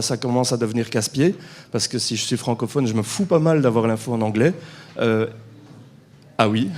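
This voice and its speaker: A man's voice: medium pitch, a little mellow. A man from Belgium, asking a frank, wry question from the floor at a conference. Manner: frank, wry, informal asides. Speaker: transit accessibility manager asking a question.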